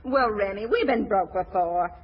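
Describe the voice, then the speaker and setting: An actress playing a loyal, folksy middle-aged racketeer's wife with ungrammatical, plainspoken speech in 1940s radio comedy style. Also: female voice, medium pitch, normal speed, natural voice. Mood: reassuring. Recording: dull noisy radio, audience murmur.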